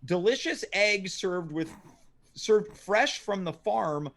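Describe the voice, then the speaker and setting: A male host with a notably high voice reads out a menu and questions his guest. He keeps a dry, mock-serious tone. It is a casual comedy show. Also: lively intonation, slow pace, a bit bright. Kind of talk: comedic interview.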